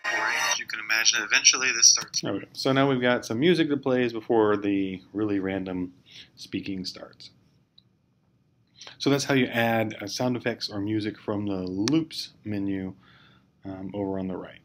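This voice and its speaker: A man giving an informal, step-by-step software tutorial in a hesitant, explanatory way. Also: on the low side, moderate pace. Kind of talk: informal screencast walkthrough. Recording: clear background noise, amateur screencast.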